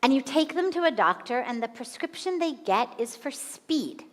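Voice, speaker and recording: Woman in her fifties, American spiritual teacher, higher voice, unhurried teaching in a reverberant hall. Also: animated. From United States. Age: 50s. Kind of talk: satsang talk to an audience.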